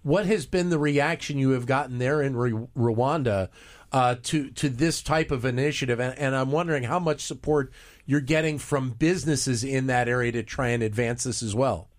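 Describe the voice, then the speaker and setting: A male host interviewing guests, halting and often restarting his questions. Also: medium pitch.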